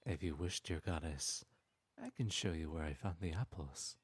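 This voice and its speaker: A man voicing a sly, smooth-talking male trickster god in an audio roleplay, speaking in a silky, teasing and persuasive way. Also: sits low, animated, normal speed, no room sound. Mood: scheming.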